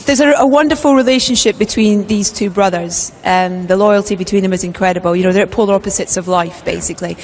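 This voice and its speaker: Quick red carpet interviewer, outdoors, faint city noise behind. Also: medium pitch. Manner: quick, upbeat presenter questions.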